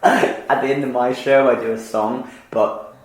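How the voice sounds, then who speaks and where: A man's voice: medium pitch, fairly even intonation, measured pace. A man hosting a podcast in casual chat, rambling with many fillers and restarts and laughing easily. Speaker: podcast host. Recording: echoey room.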